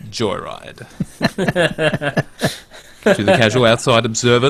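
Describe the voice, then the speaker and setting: A man's voice: mid-range voice, fairly bright tone. A man hosting a casual gaming podcast who runs through news and reads a write-up aloud. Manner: reads a write-up aloud in a mock movie-trailer voice. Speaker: podcast host.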